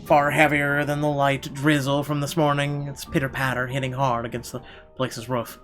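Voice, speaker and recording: A man's voice: mid-range voice, flat delivery, moderate pace, fairly bright tone, soft background music. Man narrating a horror visual novel. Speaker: let's player reading game narration.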